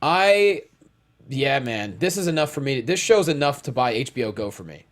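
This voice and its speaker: A man's voice: medium pitch, very animated, moderate pace. A man in his thirties co-hosting a casual entertainment news podcast in a studio, with informal, slangy delivery. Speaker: podcast co-host. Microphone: close studio mic with foam cover.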